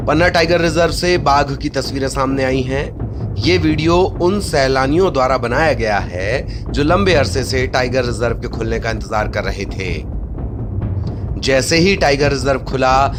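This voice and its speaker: A man's voice: medium pitch, background noise. A man narrating scripted news features as a voice-over.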